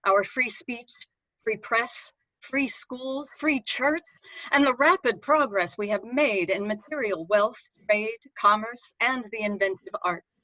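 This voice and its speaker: A woman's voice: a little high, unhurried, pushed and bright, dry recording. A woman giving a formal dramatic reading of historical oratory, solemn and declamatory. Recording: dull, dry lo-fi audio.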